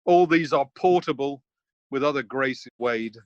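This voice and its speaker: A man's voice: mid-range voice, unhurried, no echo, lo-fi sound, lightly acted. Man playing an earnest nobleman, close dry sound. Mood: counselling, earnest. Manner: earnest, declamatory verse delivery. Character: loyal Scottish nobleman, earnest, patriotic, indignant. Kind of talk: radio play Shakespeare acting.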